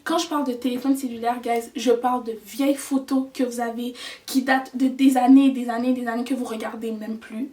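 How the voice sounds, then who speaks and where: A woman's voice: a little high, flat delivery, brisk, warm tone, distant mic. A young woman giving earnest, chatty life advice in a vlog, slipping in English words. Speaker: vlogger.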